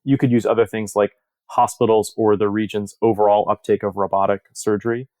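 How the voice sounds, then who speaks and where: A man speaking as an interview guest, explaining research in a measured, step-by-step way. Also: lowish voice, little pitch movement, darkish tone, no echo.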